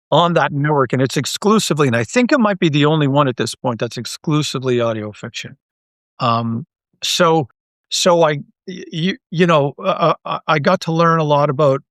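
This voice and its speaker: A middle-aged man talking casually as an interview guest, thinking aloud with halting, repeated phrases. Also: medium pitch, a bit bright, dry recording, clean studio sound. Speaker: guest, audio drama writer and creator.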